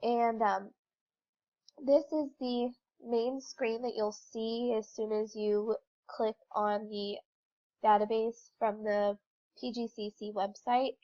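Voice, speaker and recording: A woman's voice: fairly high pitch, little pitch movement, slow, dark tone, dull audio. A young woman with a congested, stuffy voice, talking through a slideshow presentation in an informal, explanatory way with some fillers. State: congested from a cold. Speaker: student presenter.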